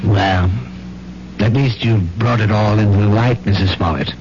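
An actor playing a patient, authoritative psychiatrist in a radio mystery drama, speaking deliberately and formally. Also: male voice, fairly low pitch, steady tone, background noise, lightly acted. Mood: reassuring, explanatory. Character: probing, authoritative male psychiatrist, patient and measured. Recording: dull radio recording with music behind.

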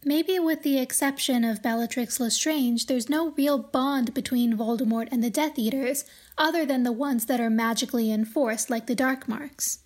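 A woman hosting a podcast, giving measured, articulate commentary on a fantasy book series in a scripted, explanatory style. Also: high-pitched, darkish tone. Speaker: podcast host.

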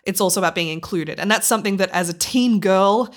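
An Australian woman in her thirties, a podcast guest telling stories in casual chat, animated and laughing often. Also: medium pitch, quick pace. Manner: animated storyteller, laughs often, acts out voices.

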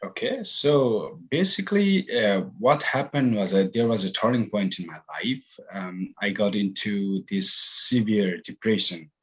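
A man's voice: fairly low pitch, expressive, measured pace, hardly any pauses, fairly bright tone, breathy edge, poor audio. A man from Nepal with a Nepali accent, a meditation teacher answering interview questions hesitantly with many fillers. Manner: hesitant, many ums and likes.

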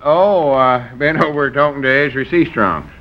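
A man's voice: medium pitch, moderate pace, muffled recording, lightly acted. A man playing a cagey old rural storekeeper in a 1940s radio comedy, with a folksy, evasive drawl.

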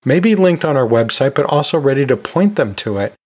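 A middle-aged or older man hosting an educational podcast, talking conversationally and emphatically, with pauses and rhetorical asides. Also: mid-range voice, very animated, a little mellow. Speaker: podcast host and college instructor.

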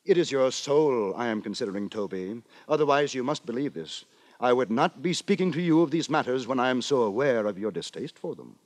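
An actor playing an earnest, moralizing gentleman writer who narrates in florid, theatrical style in a 1950s radio drama. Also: mid-range voice, animated, brisk, no echo, close to an everyday voice. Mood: earnest reproach. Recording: 1950s radio broadcast with music.

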